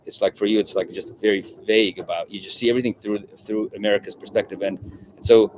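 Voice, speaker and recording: A middle-aged man from Russia, a guest in an interview, talking in a halting way with many restarts and repeated words. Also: lowish voice, steady tone, darkish tone, a little hiss or hum, rough recording. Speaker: guest journalist. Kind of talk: conversational interview.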